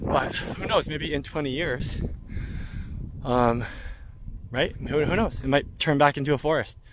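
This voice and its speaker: A man's voice: fairly high pitch, measured pace. A man giving casual, off-the-cuff travel vlog commentary, chatting informally and thinking aloud. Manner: chatty, informal, speculating aloud, occasional swearing. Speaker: travel vlogger. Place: on location, outdoors and aboard a train. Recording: noisy handheld outdoor and travel ambience.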